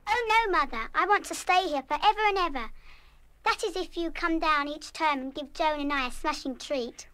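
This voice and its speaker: A woman playing a headstrong, impulsive but warm-hearted English schoolgirl in an audio drama. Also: sits high, moderate pace, no room sound. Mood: joyful. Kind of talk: full-cast audio drama acting.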